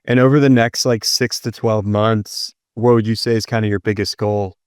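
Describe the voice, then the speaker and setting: A man's voice: lowish voice, steady tone, clean tone, no room sound, clean studio sound. A man hosting a podcast, asking questions in a casual, upbeat, agreeable way. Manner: upbeat, agreeable, quick affirmations. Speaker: podcast host.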